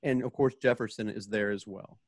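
A man's voice: on the low side, fairly even intonation, moderate pace, darkish tone, no room sound, clean studio sound. A man narrating stage directions for a radio play in a casual, explanatory style.

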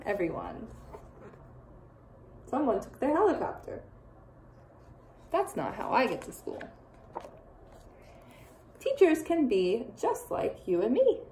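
A young woman teacher reading a picture book aloud to children, expressive and full of playful asides. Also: on the high side, low-quality recording. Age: young adult.

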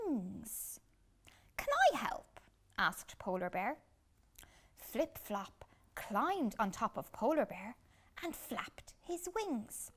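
Slow, animated woman reading a storybook. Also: a little high, breathy edge. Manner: deliberate, dramatic pauses for young listeners. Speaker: children's storytime reader. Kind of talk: expressive picture-book reading. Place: small furnished room indoors.

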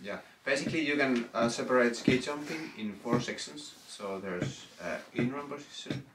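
A man coaching a beginner in ski jumping, speaking calmly and plainly with hesitant fillers. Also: mid-range voice, normal speed. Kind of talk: casual explaining. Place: changing room and outdoors on a ski hill. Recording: roomy, distant, very noisy.

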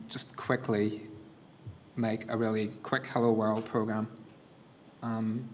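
Man with a flat voice presenting a coding workshop. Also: mid-range voice, a little hiss or hum. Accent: non-North American. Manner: casual, halting, many fillers and restarts. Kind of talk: live coding demo talk. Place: conference room. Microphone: lectern mic.

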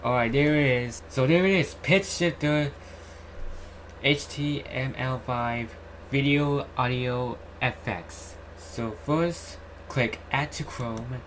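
A young man hosts a casual how-to screen recording. He talks slowly and haltingly, often repeating himself, at an average male pitch. The home recording has clear noise and typing behind it. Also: a bit bright. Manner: halting, repetitive, says "alright" often. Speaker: YouTuber host. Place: indoor room at home.

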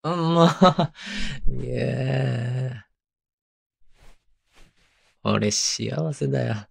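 A man playing a tender, clingy, teasing young husband in an intimate ASMR voice drama, speaking softly and playfully close to the microphone. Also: mid-range voice, extremely slow, heavily acted. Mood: bashful, happy. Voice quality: soft, breathy. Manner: murmuring, playful, coaxing. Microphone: close microphone, binaural.

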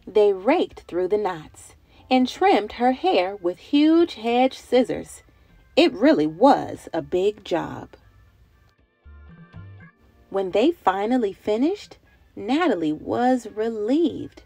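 A young adult woman narrating a picture book for small children in an expressive, dramatic way with emphatic, drawn-out words. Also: medium pitch, vocal fry, dry recording. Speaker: children's read-aloud storyteller. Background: music bed.

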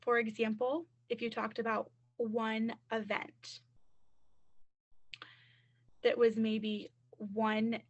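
A woman's voice: on the high side, level tone, slow, pauses often. A woman college admissions counselor answering questions on a webinar through a computer mic, chatty and full of fillers.

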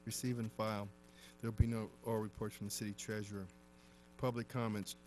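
A man acting as mayor, chairing a council meeting in a wood-paneled chamber, brisk and procedural, and firm when he interrupts. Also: fairly low pitch, little pitch movement, darkish tone, slight background noise. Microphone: desk microphone on the dais.

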